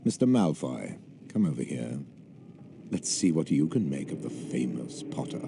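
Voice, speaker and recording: Sinister british professor, super low gravelly voice, sardonic drawl, noisy background, game villain type. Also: male voice, big pitch swings, normal speed, mellow, heavily acted. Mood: cold disdain. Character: sinister, sneering, disdainful male wizard professor. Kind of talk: video game voice acting.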